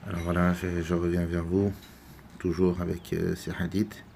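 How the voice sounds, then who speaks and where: A man from France giving unscripted religious commentary, halting and full of repeated words and fillers. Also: low-pitched, level tone, creaky, mellow, a little hiss or hum. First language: French. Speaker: religious video maker.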